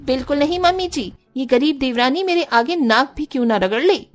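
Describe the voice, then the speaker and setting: A woman's voice: sits high, expressive, normal speed, soft background music, voice pushed up. A woman voicing a haughty, quarrelsome sister-in-law in a cartoon story, with a taunting, scornful manner. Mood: defiant. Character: haughty, spiteful elder sister-in-law, housewife. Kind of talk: exaggerated Hindi cartoon story acting.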